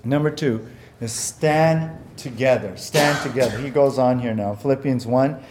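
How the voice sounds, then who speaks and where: A man's voice: mid-range voice, moderate pace, noisy background. A man preaching a sermon as pastor, earnest and conversational, often repeating words and using fillers, whose first language was Japanese.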